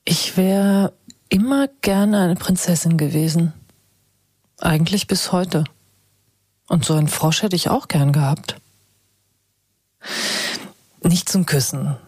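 A woman's voice: fairly low pitch, takes it slowly, long pauses, a little mellow, husky, no room sound. A woman playing a curious, persistent radio journalist in a radio drama, reporting and interviewing. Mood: wistful musing.

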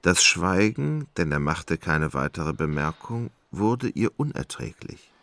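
Really deep gravelly guy narrating a literary radio drama, expressive pitch. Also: measured pace, no echo. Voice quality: very deep, creaky.

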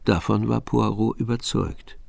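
An actor narrating a detective radio play as a composed storyteller, in a measured, precise literary style. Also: male voice, on the low side, steady tone, creaky, darkish tone, dry recording. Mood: composed, descriptive.

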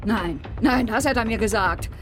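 A woman's voice: on the high side, moderate pace, a bit bright, faint music behind, lightly acted. A woman playing a defiant, blunt girlfriend of a petty criminal in a naturalistic radio drama, talking colloquially. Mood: defensive, insistent. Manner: blunt, colloquial, evasive under questioning.

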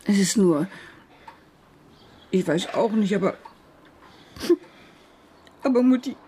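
Brief: wistful, hesitant mourner in a radio play, slow and plaintive, faint noise behind. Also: female voice, mid-range voice, expressive, warm tone. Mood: wistful, grieving. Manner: halting, plaintive. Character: grieving, wistful, hesitant woman. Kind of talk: radio crime drama acting.

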